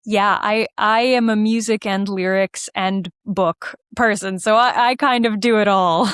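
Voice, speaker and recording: A woman with a clear, smooth voice at middle pitch talks casually as an interview guest. Her delivery is animated and informal. The recording is very dry. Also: a little slow, no room sound. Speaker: guest, musical theater writer and performer. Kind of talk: casual conversational interview answers.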